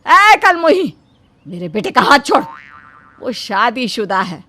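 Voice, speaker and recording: A woman playing a scheming, manipulative older mother in an animated moral story, theatrical and scolding. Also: on the high side, measured pace, exaggerated voice. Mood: outraged scolding. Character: scheming, melodramatic ageing mother nagging her son to marry.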